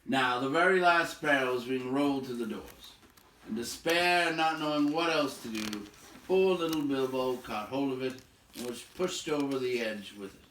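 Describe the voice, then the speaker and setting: An echoey room surrounds a man narrating a storybook aloud. His voice is mid-pitched, and he phrases the prose expressively, pausing between clauses. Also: a bit bright, slight background noise. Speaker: audiobook-style reader.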